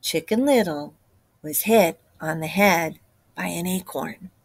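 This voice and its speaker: A woman's voice: on the low side, slow pace, breathy edge, dry recording. A middle-aged woman, a teacher telling a picture-book story expressively to children. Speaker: teacher reading aloud to children. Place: classroom, indoors.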